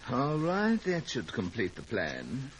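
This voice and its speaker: An actor in a 1950s radio drama playing a stern, curt communist cell leader who gives orders with authority. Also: male voice, mid-range voice, wildly swooping pitch, slow pace. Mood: commanding.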